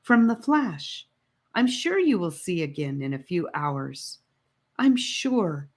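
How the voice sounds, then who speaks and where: A woman's voice: fairly low pitch, very animated, slow pace, darkish tone, heavily acted. A woman voicing an anxious young girl adventurer in an audiobook, pleading and emotional. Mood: worried, soothing.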